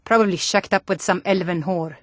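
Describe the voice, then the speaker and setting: A woman's voice: lowish voice, brisk, dry recording, studio quality, natural voice. A woman voicing a proud, blunt adult Nordic townswoman in a fantasy video game, with earnest, dramatic delivery. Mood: resentful. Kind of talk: scripted fantasy game dialogue.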